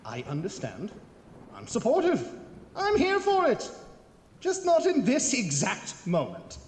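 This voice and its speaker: Snippy arrogant young mad doctor, theatrical, big swoopy voice, noisy reverby horror comedy audio play. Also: male voice, very high voice, huge pitch swings, unhurried, a little mellow, exaggerated voice. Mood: flustered placating. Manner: theatrical, grandiose, exasperated. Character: pompous, irritable young mad scientist, flustered and arrogant. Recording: noisy, echoing hall reverb, clicks.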